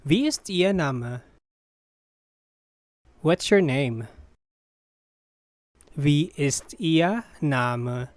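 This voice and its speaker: A teacher's voice slowly repeating short phrases in a language drill, each one said clearly and evenly. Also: mid-range voice, expressive, no room sound, studio quality. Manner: deliberate, evenly paced repetition of short phrases.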